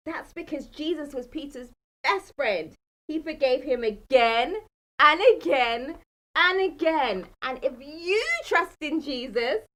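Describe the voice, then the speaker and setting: A woman's voice: high voice, a bit bright. A woman telling a children's bedtime Bible story in a dramatic, emphatic manner. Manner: dramatic, emphatic, asks the listeners questions.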